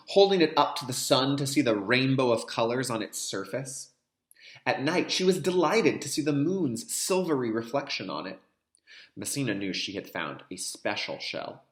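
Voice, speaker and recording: A man's voice: mid-range voice, a bit bright, echoey room. A man teaching young children through a read-aloud, speaking to them directly and explaining as he goes. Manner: addresses young listeners directly, repeats key terms. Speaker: kindergarten teacher reading a picture book aloud.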